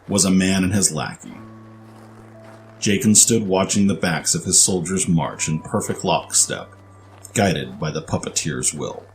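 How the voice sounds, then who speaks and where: Very deep-voiced male storyteller. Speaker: narrator. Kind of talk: scripted dramatic narration.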